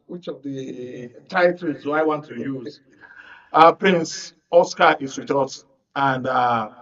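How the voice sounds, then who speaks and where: High-voiced Nigerian male host, distant and muffled. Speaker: radio and TV show host. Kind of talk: live presenting. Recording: distant mic, slightly muffled sound.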